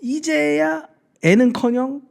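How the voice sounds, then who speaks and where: A man in his thirties co-hosting a podcast, chatting casually and telling stories with animated, shifting delivery. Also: very high-pitched, takes it slowly, vocal fry. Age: 30s. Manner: animated, storytelling, frequent agreeing hums. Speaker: podcast co-host. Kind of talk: casual chat.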